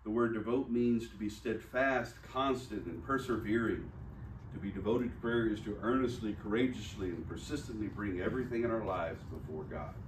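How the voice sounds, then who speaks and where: A man's voice: on the low side, moderate pace. Man preaching in a roomy hall. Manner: folksy, jokey teaching with laughs. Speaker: pastor. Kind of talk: sermon. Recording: roomy church hall, distant mic, faint hiss.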